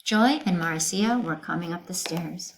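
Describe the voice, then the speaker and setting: A woman's voice: lowish voice, unhurried, a little hiss or hum, low-quality recording. A woman reading a children's book aloud as a storyteller, narrating expressively with dramatic emphasis.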